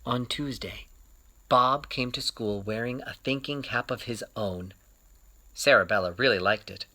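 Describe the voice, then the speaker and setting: Man, storyteller, bright voice, expressive picture-book read-aloud for kids, varied emphasis. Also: medium pitch, unhurried.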